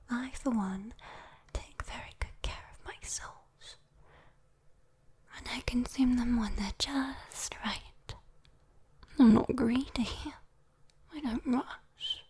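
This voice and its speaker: A woman's voice: on the high side, darkish tone, husky, exaggerated voice. A British woman voicing a sweet, teasing demon in an ASMR roleplay, coaxing and soothing a sleeper as she bargains for a soul. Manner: whispered, coaxing, soothing, lingering on words.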